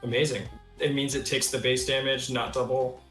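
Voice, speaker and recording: A man's voice: mid-range voice, level tone, normal speed, fairly bright tone. A man chatting casually and off the cuff as a player in a tabletop role-playing game. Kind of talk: casual unscripted game chat. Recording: roomy echo with music behind.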